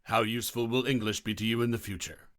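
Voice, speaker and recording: A man's voice: mid-range voice, level tone, fast, fairly bright tone, no room sound, clean studio sound. A man playing an examiner, asking test questions in a formal, instructional way. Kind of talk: scripted mock exam questions.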